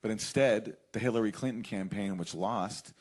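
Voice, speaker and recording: A man speaking as a panelist in a live political discussion, halting as he makes his points. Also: lowish voice, a little mellow. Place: live festival panel event.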